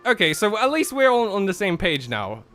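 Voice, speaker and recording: A man's voice: high voice, huge pitch swings, moderate pace, fairly bright tone, soft background music. A man hosting a visual novel playthrough, mixing casual, exasperated banter with read-aloud narration.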